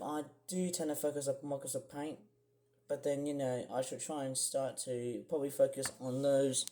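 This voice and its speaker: Young man, croaky voice, rambling vlogger. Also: on the high side, dark tone. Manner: rambling, many fillers and restarts. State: croaky, clearing throat. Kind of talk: casual screen-share vlog.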